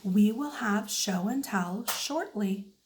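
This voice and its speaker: A woman voicing a weary, restrained male first-grade teacher in a storybook, measured and quietly firm. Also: mid-range voice, expressive, slight background noise. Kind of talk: children's storybook read-aloud.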